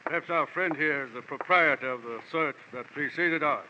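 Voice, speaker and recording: An actor playing a fat, suave, menacing gentleman crook with pompous, mock-courteous speech in an old radio drama. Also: a little high, moderate pace, lightly acted. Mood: impatient, commanding. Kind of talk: 1940s radio villain acting. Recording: dull, slightly noisy old radio recording.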